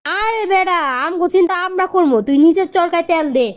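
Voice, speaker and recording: A woman voicing an indignant, sharp-tongued expectant mother in a cartoon, with Bangladeshi speech. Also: extremely high pitch, fairly quick, highs cut off. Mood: defiant. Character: feisty, indignant expectant young village mother. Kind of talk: cartoon dialogue.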